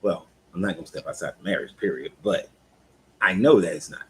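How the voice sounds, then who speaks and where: A very low-pitched man in his fifties talks in a relaxed, candid way, like a coach chatting with his audience on a live stream. His delivery is loose and conversational, and he stops now and then to read out viewer comments. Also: a little fast, a little hiss or hum. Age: 50s. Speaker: life coach hosting a live talk. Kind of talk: casual live-stream chat.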